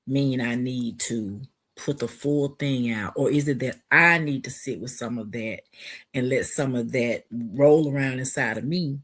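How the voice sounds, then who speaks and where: A woman with a low, husky voice speaks earnestly on a podcast. She reflects aloud and keeps putting searching questions to herself. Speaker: author and guest. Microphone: remote video call.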